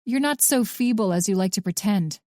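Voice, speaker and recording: A woman's voice: medium pitch, animated, fairly quick, dark tone, no room sound, clean studio sound, lightly acted. A woman voicing a tense young female agent who talks in a clipped, demanding way.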